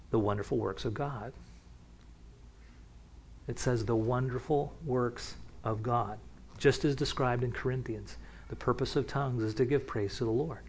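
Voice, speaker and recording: A man teaching from the Bible in a relaxed, conversational way, often restarting sentences and picking up energy as he warms to a point. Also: medium pitch. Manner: casual, with many restarts and asides. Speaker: pastor.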